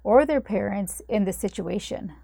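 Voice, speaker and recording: A woman hosting an interview segment, delivering a scripted intro and clear prepared questions. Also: medium pitch, animated, normal speed, warm tone, no echo. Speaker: host.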